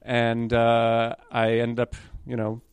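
A man's voice: mid-range voice, monotone, slow, clean tone, no echo. A young man from New York telling his story in a chatty interview, often correcting himself and adding apologetic asides. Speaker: guest conductor candidate.

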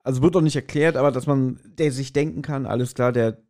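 Casual man chatting, medium pitch. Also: steady tone, fast talker, mellow. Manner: colloquial, slangy, acting out quoted lines. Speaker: podcast host. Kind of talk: casual chat, retelling an audio drama.

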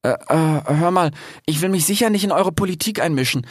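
A man voicing a sad, reluctant young-sounding male fox in a storybook, anxious, halting and stammering. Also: medium pitch, slightly rough, no echo, clean studio sound, voice pushed up, natural voice.

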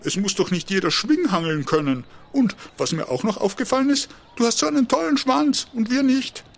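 A man's voice: very high-pitched, animated, fairly quick, gravelly, exaggerated voice. A man playing a friendly, playful young gibbon in a children's audio drama, encouraging and a little showy. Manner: encouraging, chuckling, showing off playfully.

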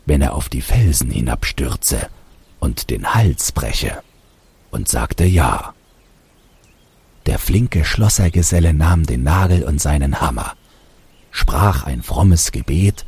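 A man reading a fairy tale aloud as an expressive storybook narrator. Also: very deep voice, vocal fry, slightly rough.

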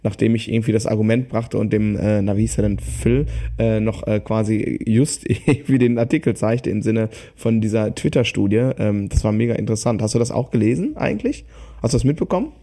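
Guy on a podcast, lowish soft dark voice, rambly, thinks out loud. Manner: rambling, halting, thinking aloud. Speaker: podcast co-host, musician. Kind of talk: casual podcast chat.

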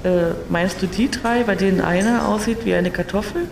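A woman's voice: medium pitch, normal speed, gravelly, a little mellow, a little hiss or hum, lightly acted. A woman playing a determined, irritable traveller with a dry, resolute manner in a sci-fi audio drama. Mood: weary, dry. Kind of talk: German science-fiction audio drama. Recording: roomy, distant mic, music behind.

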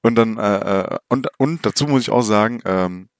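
A man's voice: on the low side, normal speed, clear, resonant tone, dry recording. A man with a slightly nasal voice from a lingering cold, chatting casually as a podcast host on a close microphone. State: getting over a cold. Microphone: close USB or headset microphone.

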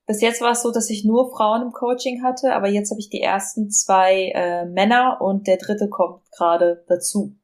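Young woman with a mid-pitched voice. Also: steady tone, normal speed, few pauses. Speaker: podcast guest, fitness coach. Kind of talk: casual interview answers.

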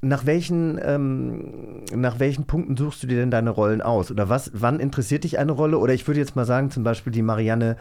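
A man's voice: medium pitch, fairly quick, gravelly, a little mellow. Male podcast host, middle-aged, mid-range voice, conversational questioning with frequent rephrasing. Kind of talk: casual chat interview.

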